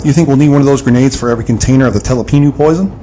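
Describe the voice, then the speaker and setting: A man's voice: mid-range voice, rapid-fire, background noise, lightly acted. An actor playing a wry, cocky young-hearted marksman in an audio adventure drama, casual in delivery.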